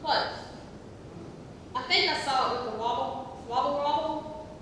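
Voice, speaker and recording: Actress as slow, high-voiced woman in echoey hall. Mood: playful. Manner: halting, slow, rambling explanations. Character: giggly woman slowly telling a rambling joke. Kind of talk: amateur stage comedy acting. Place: theatre stage, picked up from afar. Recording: distant, echoey theatre hall, faint noise.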